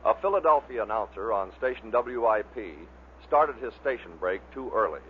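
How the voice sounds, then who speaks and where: A man's voice: medium pitch, lively intonation, normal speed. A man giving scripted narration as the presenter of a compilation. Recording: muffled, noisy vintage radio recording.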